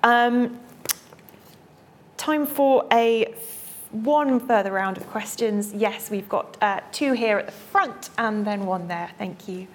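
A woman's voice: a little high, slow, a little hiss or hum. A woman moderating a panel event, polite and lightly humorous, with frequent filler words.

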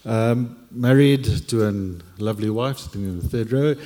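Man, 40s, mellow dark tone, hesitant, reflective, church panel, long hall reverb. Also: medium pitch, moderate pace. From Cape Town, South Africa. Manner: reflective, hesitant, many fillers. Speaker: panel guest. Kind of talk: candid personal sharing on a church panel.

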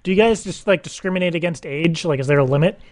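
A man's voice: a little high, dry recording, natural voice. A man playing a rambling, clueless, doting single dad on a prank phone call, stumbling and repeating his questions. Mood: earnest, rambling. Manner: halting, repetitive, stumbling questions. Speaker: radio show prankster.

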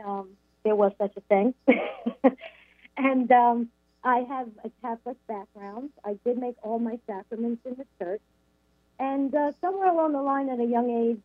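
High-voiced New York woman on the phone. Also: dry recording. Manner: chatty, with frequent ums. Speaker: guest. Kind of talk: telling her life story. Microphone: phone call. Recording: tinny phone line.

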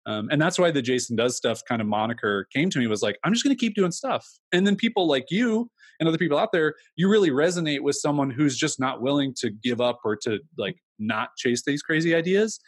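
A man's voice: on the high side, expressive, a little fast, hardly any pauses, slightly rough, no room sound, studio quality. A man in his thirties, an entrepreneur talking candidly in an interview, rambling with many fillers. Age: 30s. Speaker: entrepreneur guest. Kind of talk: casual interview talk.

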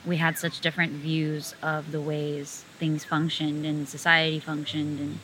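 A woman's voice: low voice, level tone, measured pace, a bit bright, slight background noise, low-quality recording. A woman from the United States talking in a casual conversation, fluent and earnest, often saying "like" as she goes. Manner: fluent, earnest, many "like" fillers.

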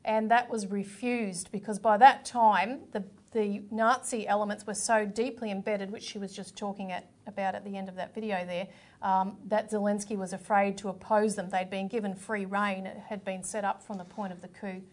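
A woman's voice: medium pitch, flat delivery, normal speed. An Australian woman co-hosting a political talk show, explaining background with frequent fillers. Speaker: co-host of a political current affairs program. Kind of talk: casual political discussion.

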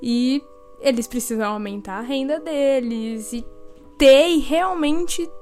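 A woman's voice: high voice, a little mellow. A young woman co-hosting a true-crime podcast, chatting casually and joking between facts. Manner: chatty, jokey, quick asides and laughs. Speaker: podcast co-host. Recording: faint music behind.

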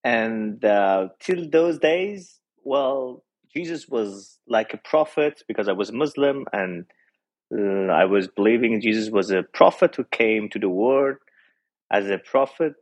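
A man in his forties from Iran with a Persian accent, a pastor telling his personal story earnestly as a podcast guest, pausing often to find his words. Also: lowish voice, animated. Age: 40s. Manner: earnest and reflective, with frequent hesitations. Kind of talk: personal testimony in an interview.

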